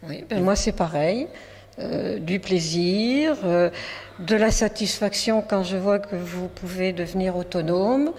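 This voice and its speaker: A woman's voice: lowish voice, slow, darkish tone. A woman answering interview questions as a volunteer teacher. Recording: noisy reverberant hall.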